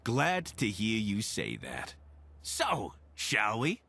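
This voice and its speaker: Man acting a lively, chatty wasteland scavenger. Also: fairly low pitch, takes it slowly, vocal fry, exaggerated voice. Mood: upbeat, eager. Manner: casual, wry, eager, chatty. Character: affable, curious male wasteland scavenger offering to team up. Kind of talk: video game companion dialogue.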